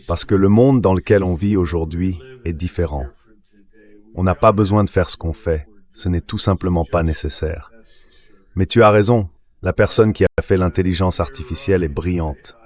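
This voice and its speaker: A man's voice: deep voice, normal speed, darkish tone. A man's synthetic dubbing voice giving political commentary as an interview guest.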